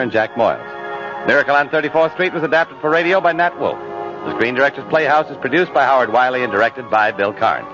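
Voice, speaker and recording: An American man doing polished, formal 1950s radio announcing. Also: mid-range voice. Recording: muffled vintage radio recording.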